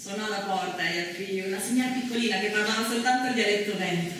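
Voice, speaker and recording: Female theatre storyteller, played by an actress, mid-pitched, recorded from a distance with heavy echo. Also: quick pace, fairly bright tone, lightly acted. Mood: narrating. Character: female stage storyteller recounting women's lives. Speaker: stage actress. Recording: distant, very echoey, noisy stage recording.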